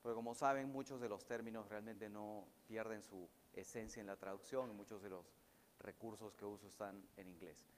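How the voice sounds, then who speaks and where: A man's voice: fairly low pitch, steady tone, moderate pace, big-room sound, slight background noise. A middle-aged Peruvian man with a mixed Peruvian accent, giving a conversational conference talk with casual anecdotes and frequent fillers. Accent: Peruvian Spanish, mixed with Mexican. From Peru. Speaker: conference speaker. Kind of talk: academic talk.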